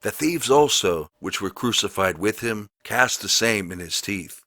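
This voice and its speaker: A man narrating the Bible aloud in a dramatic, solemn and emphatic style, with deliberate phrasing. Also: fairly low pitch, animated, sharp tone, no echo. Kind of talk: dramatic scripture read-aloud of the King James Bible.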